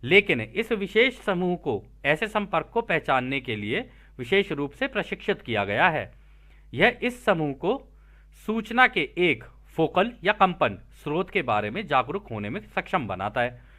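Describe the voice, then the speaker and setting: Bright-voiced, animated male spiritual teacher. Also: on the high side, unhurried. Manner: conversational, checks in with listeners using rhetorical questions. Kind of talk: reading aloud and explaining informally.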